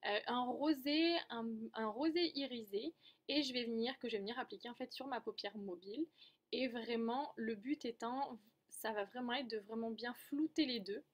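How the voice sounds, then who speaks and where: A woman giving a casual makeup tutorial, chatty and explanatory, often correcting herself as she goes. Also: on the high side. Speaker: beauty vlogger.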